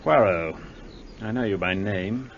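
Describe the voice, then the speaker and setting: An arrogant Paris detective. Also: male voice, lowish voice, measured pace, lo-fi sound, a little hiss or hum. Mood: haughty. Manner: arrogant, dismissive. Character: arrogant Paris male detective, self-important. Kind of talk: radio drama acting.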